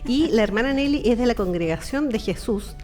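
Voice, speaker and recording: A woman's voice: a little high, expressive, a little fast, dark tone. A Chilean woman hosting a radio program in an informal, chatty, friendly interviewing style. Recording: music bed under the voice. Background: background music.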